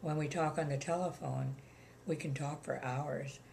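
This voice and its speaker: An older woman with a gravelly voice, chatting plainly about her life in an interview, with many ums. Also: low voice, steady tone, normal speed, darkish tone, slight background noise. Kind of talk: casual reminiscing chat.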